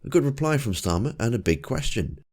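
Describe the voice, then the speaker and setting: A man with a croaky, cold-roughened voice, giving casual commentary with dry, wry asides. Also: medium pitch, sing-song, brisk, darkish tone. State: hoarse from a cold. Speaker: political commentator. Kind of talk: casual commentary over clips.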